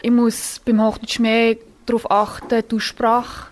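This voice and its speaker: A woman's voice: on the high side, little pitch movement, unhurried. A woman with a Swiss German accent, an amateur actress, speaking in an interview.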